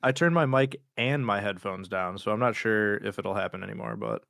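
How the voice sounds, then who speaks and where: A man's voice: on the low side, big pitch swings, fairly quick, creaky, a bit bright, no room sound, studio quality. A man co-hosting a podcast, bantering in a teasing, sweary way. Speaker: podcast co-host. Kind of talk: casual banter.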